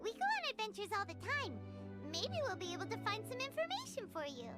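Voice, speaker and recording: A tiny fairy companion from a video game, squeaking at a very high pitch and bouncing all over the scale. She sounds eager and upbeat, keen to help, with a chirpy childlike lilt. Bright game music plays loudly behind her. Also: wildly swooping pitch, fairly quick, exaggerated voice.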